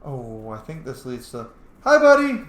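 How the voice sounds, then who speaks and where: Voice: mid-range voice, wildly swooping pitch, measured pace, echoey room, slight background noise. A gaming streamer giving casual, chatty playthrough commentary, playful and full of swearing.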